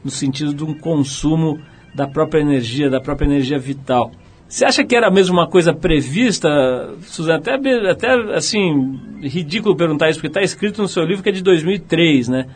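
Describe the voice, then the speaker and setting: A man's voice: on the high side, a little fast, slight background noise. A Brazilian man hosting an interview, talking casually with frequent tag questions and reading a passage aloud. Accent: Brazilian Portuguese. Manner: chatty, many "né?" tags, reads a passage aloud. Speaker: radio interviewer. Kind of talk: conversational interview.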